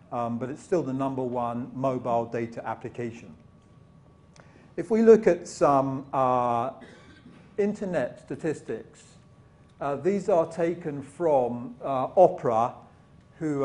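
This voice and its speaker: A man's voice: mid-range voice, darkish tone. Man giving a conference keynote. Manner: loose, many fillers, asks the audience questions. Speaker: keynote speaker. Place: conference hall with a live audience.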